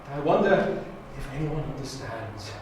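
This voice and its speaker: A man playing a reflective, solemn orator in a measured, formal and dramatic audition monologue. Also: medium pitch, sing-song, lightly acted. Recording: very echoey room, distant mic, faint noise.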